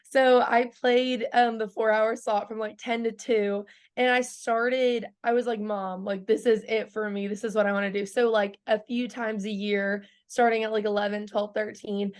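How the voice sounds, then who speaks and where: Early 20s woman singer chatting away, lots of likes and giggles, fairly high voice. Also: clear, resonant tone. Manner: bubbly, laughs often, lots of "like" fillers. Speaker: country singer guest. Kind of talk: casual interview chat.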